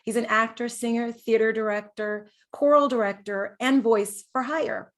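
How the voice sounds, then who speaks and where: A woman's voice: a little high, fairly even intonation, normal speed. A woman from New York City co-hosting a show, giving introductions that sound partly read from prepared notes. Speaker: co-host, actor and director.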